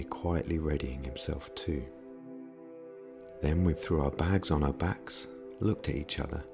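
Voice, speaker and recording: Very deep, gravelly male podcast host. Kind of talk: scripted personal narration. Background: music.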